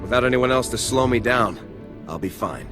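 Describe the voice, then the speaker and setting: A man playing a gruff, cocky sergeant in a video game, with a curt, dismissive way of talking. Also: medium pitch, lively intonation, normal speed, fairly bright tone, long reverb tail, soft background music, close to an everyday voice. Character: gruff, cocky male military sergeant with a harsh temper. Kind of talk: video game cutscene acting.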